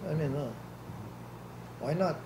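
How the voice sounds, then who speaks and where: A man's voice: on the high side, measured pace. An older man from Hawaii, answering questions in an oral history interview, blunt and plainspoken, laughing easily. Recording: echoey room with faint hiss.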